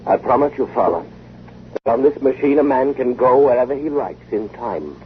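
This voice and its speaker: An actor playing a confident, eager inventor in a 1950 radio drama, speaking with persuasive, emphatic assurance. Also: male voice, mid-range voice, expressive, normal speed, natural voice. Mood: earnest, persuasive. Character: confident, eager male inventor of a time machine. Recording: muffled, hissy old radio broadcast.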